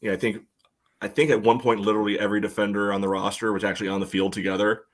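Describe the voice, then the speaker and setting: A man's voice: on the low side, little pitch movement, rapid-fire. A man casually analysing a match on a podcast, peppering his talk with "you know". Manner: frequent "you know" filler. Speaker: soccer podcast host.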